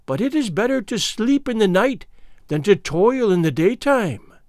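A man voicing an ancient, cruel witch who speaks slowly with shrill mockery. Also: big pitch swings, a little mellow, no echo, studio quality. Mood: mocking. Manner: shrill, slow, mocking.

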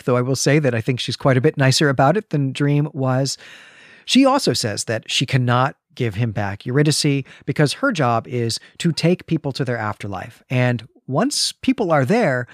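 A man speaks as a podcast host in a literary discussion. His voice sits at an average pitch and he lays out his points in an articulate, explanatory way. The sound is dry, with almost no room on it. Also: no echo. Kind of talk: literary discussion and story recap.

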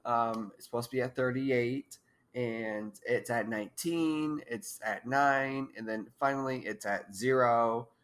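A woman's voice: extremely low pitch, a little slow. A young woman in her twenties, a podcast co-host, talking casually and giggling often while stuffed up with allergies. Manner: giggly, rambling. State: congested. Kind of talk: casual chat.

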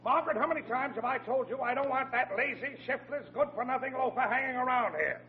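An actor playing a gruff, bullying middle-aged father with a blustering, insulting manner in an old-time radio comedy. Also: very high voice, quick pace. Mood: irritated, scornful. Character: gruff, bullying father who scorns his daughter's suitor. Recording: muffled old radio recording, faint noise.

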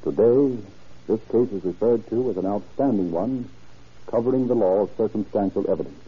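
A man narrating and announcing in a formal, deliberate, declamatory 1930s radio style. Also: lowish voice, background noise. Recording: dull old 1930s radio recording.

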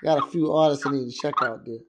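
A man hosting a podcast in a loud, slangy, casual hype-man style, often filling with "know what I'm saying". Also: medium pitch, steady tone, quick pace, heavy background noise. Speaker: podcast host. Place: Virginia.

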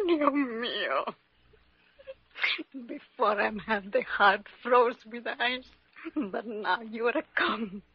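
Slow, husky Italian mama. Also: female voice, on the high side, dry recording, full character voice. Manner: broken English, sentimental. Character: frail, devoted Italian immigrant mother, gravely ill. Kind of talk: old-time radio drama acting. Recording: muffled vintage radio recording.

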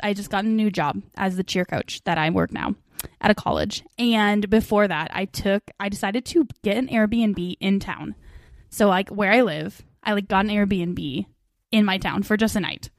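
A woman's voice: mid-range voice, measured pace, no echo, studio quality. A woman in her twenties hosting a podcast, chatting casually in a bubbly, giggly, rambling way. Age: 20s. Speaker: podcast host. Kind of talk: casual chat.

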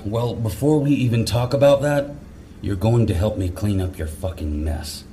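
Gruff, territorial corporal, played by a man: very low, dark timbre, commanding, audio drama with faint ambient noise. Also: moderate pace, close to an everyday voice. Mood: stern. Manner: commanding, profane, stern. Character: gruff, territorial male National Guard corporal.

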